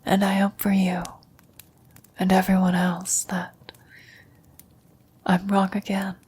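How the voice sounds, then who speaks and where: A woman playing a gentle, wise, dying old woman in a whispered, breathy, solemn ASMR roleplay. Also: medium pitch, completely flat delivery, slight background noise, natural voice. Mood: tender, grateful. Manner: slow, solemn, measured delivery.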